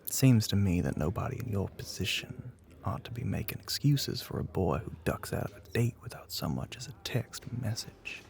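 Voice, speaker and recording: A man playing a smug, flirty, middle-aged bartender with a Southern US accent in an intimate ASMR roleplay, smooth-talking and often dropping toward a whisper. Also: lowish voice, lively intonation, normal speed, hardly any pauses, a little mellow. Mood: earnest, coaxing. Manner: drawling, smooth-talking, pet names, often breathy near-whisper.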